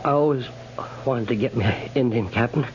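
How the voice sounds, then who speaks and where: A noisy, dulled old radio recording holds a dying cavalry private, acted by a man. His mid-pitched voice is weak and faltering, humble and folksy as he struggles through each phrase. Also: dull audio, lightly acted. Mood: weak, resigned. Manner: halting, folksy. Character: mortally wounded, humble male cavalry private. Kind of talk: 1950s radio drama acting. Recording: noisy, band-limited 1950s radio recording.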